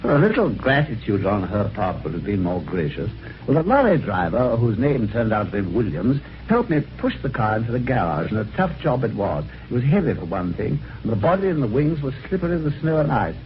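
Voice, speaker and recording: An actor playing a genial, chatty British gentleman telling his adventure in an old-time radio drama, with polite, jaunty, conversational phrasing. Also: medium pitch, sing-song, fairly quick, close to an everyday voice. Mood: wry storytelling. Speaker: narrator and lead character. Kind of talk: old-time radio drama, first-person narration and dialogue. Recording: muffled, noisy vintage radio recording.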